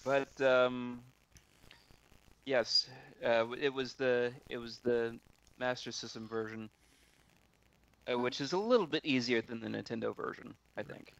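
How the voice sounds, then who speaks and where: A man casually telling a story on a video call, halting and often repeating his words. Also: medium pitch, creaky, a bit bright, highs cut off.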